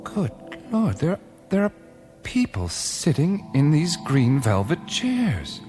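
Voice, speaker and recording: Curious adventurer hero, musing and wondering, highly animated pitch, radio drama, loud music behind. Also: male voice, slow pace, exaggerated voice. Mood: amazed. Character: male adventurer hero, curious, pensive, easily amazed. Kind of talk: radio play acting.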